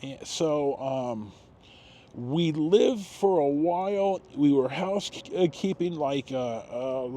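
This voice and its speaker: Mellow, halting American man in his sixties. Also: mid-range voice. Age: 60s. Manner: halting, rambling, with long pauses and self-corrections. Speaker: video storyteller. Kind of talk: casual autobiographical storytelling. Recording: noticeable background noise.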